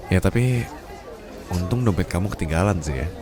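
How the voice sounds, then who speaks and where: Haughty boss and teasing boyfriend for ASMR roleplay male actor: lowish voice, very animated, light music underneath. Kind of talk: Indonesian ASMR roleplay acting.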